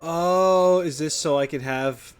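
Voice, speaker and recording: A man's voice: on the high side, slow, clear, resonant tone. A man giving casual, rambling video game commentary as a streamer. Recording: music playing behind the voice.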